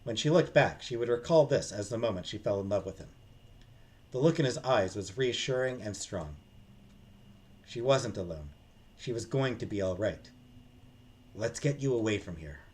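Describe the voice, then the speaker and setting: A man's voice: fairly low pitch, animated, normal speed, a little mellow. A man streaming a visual novel, reading the narration aloud in a dramatic storytelling style. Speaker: game streamer.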